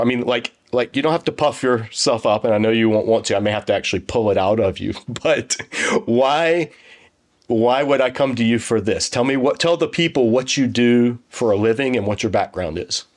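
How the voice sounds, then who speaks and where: A man hosting an interview, friendly and enthusiastic, joking easily with his guest. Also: mid-range voice. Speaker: YouTube channel host. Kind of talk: casual interview conversation.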